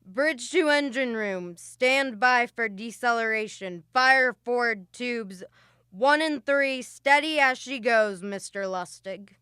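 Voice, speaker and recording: A woman's voice: a little high, takes it slowly, sharp tone, smooth, no echo, clean studio sound. A woman playing a stern spaceship navy captain. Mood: commanding. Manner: clipped, authoritative orders. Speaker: student radio drama actor. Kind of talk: old-time radio drama acting.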